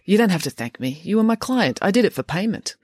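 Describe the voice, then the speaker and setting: A reader voicing a precise, easily embarrassed schoolgirl detective, in a crisp, matter-of-fact manner. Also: medium pitch, very animated, quick pace, no echo, studio quality, voice pushed up.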